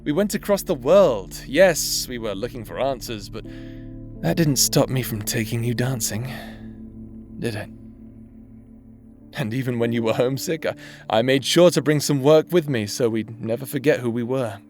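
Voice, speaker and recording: A man's voice: medium pitch, moderate pace, faint music behind, lightly acted. A man voicing a remorseful necromancer husband who now haunts his partner's memory, speaking tenderly and teasingly in a roleplay audio drama. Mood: wistful. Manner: tender, teasing, softly spoken.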